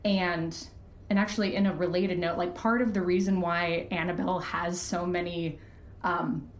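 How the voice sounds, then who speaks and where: A woman's voice: lowish voice, steady tone, moderate pace. A woman talking candidly as an interview guest, earnest and emphatic in how she explains things. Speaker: podcast creator, interview guest. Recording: roomy, echoey sound.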